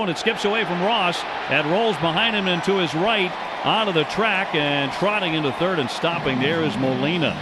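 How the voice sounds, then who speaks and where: A man calling live baseball play-by-play on the radio in descriptive, clipped phrases that follow the action. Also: a little high. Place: ballpark broadcast position. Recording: noisy outdoor stadium ambience. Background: steady outdoor stadium noise.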